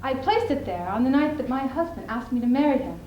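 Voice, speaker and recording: In an echoey room with some noise behind, a young woman acts out a spirited widow on stage with a high voice. The scheming woman speaks emphatically, leaving deliberate, theatrical pauses. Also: animated, highs cut off. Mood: reflective, wistful. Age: young adult. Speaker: student speech team performer. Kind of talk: theatrical dramatic monologue on stage. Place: indoor school showcase stage. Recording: echoey room, clearly audible background noise.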